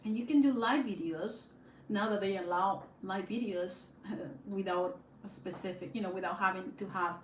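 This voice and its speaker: A woman's voice: medium pitch, moderate pace. A woman with a Spanish accent, chatting casually on a live stream in a rambling way full of fillers and restarts, recorded on a phone on a tripod. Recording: echoey room with faint background hiss.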